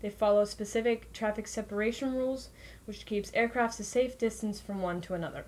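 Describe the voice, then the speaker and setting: A woman's voice: mid-range voice, steady tone, a little fast, darkish tone. A woman reading scripted documentary narration in a plain, informational way, occasionally stumbling over words. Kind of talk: scripted narration, read aloud.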